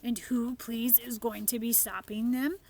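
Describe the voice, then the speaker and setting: A woman's voice: on the high side, flat delivery, unhurried, a little mellow. Woman putting on a homely, word-mangling friendly giant. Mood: emphatic. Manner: garbled folksy grammar with made-up words. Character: big friendly giant, male, homely, mangling his words.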